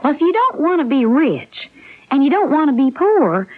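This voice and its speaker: High swoopy innocent young gal, plaintive and worried, old radio play, muffled sound. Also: female voice, very animated, normal speed. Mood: confused, pleading. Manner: plaintive, questioning, guileless. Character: naive, anxious young woman, sweetheart of a schemer. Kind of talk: radio drama acting.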